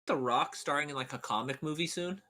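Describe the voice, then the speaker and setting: A man's voice: on the high side, a little fast, bright, forceful tone. A man chatting casually as a co-host on a podcast. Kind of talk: casual chat.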